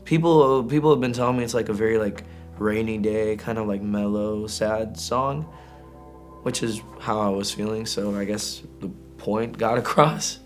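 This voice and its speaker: Low-voiced casual man over guitar music. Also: steady tone. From Greece. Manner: informal, halting, slangy delivery. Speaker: indie singer-songwriter being interviewed. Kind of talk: casual, candid interview talk.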